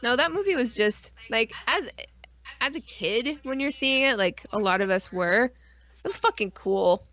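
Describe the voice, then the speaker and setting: A woman's voice: on the high side, animated, a little slow, a bit bright. A woman co-hosting a casual live-stream chat, rambling with geeky enthusiasm, slang and frequent swearing. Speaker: podcast co-host.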